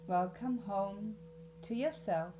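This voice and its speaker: A woman leading a guided yoga nidra meditation in a soothing, unhurried way with long pauses. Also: mid-range voice, noisy background.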